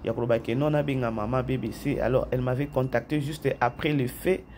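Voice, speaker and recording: A man's voice: medium pitch, steady tone, brisk, a little mellow, slight background noise. A man who has a head cold, hosting a chatty commentary vlog, repeating phrases and talking straight to his viewers. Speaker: YouTube channel host. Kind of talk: casual commentary vlog, mixing French and Lingala.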